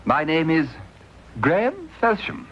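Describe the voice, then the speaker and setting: An actor playing a cold, disdainful Victorian gentleman who recounts his crimes in a precise, haughty manner in a radio drama. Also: fairly high pitch, huge pitch swings, a little slow. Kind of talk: radio drama narration and acting. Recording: dull lo-fi old radio recording with loud hiss.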